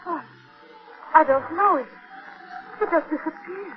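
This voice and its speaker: Anxious high-voiced young wife, old radio. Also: female voice, a little slow. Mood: uneasy. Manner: hesitant, earnest, emotional. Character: anxious, conflicted young wife in a love triangle. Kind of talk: 1940s radio drama acting. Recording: muffled vintage 1940s radio recording.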